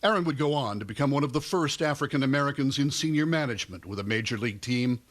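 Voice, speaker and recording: A man's voice: mid-range voice, animated, quick pace, a bit bright. A man narrating a scripted television news report.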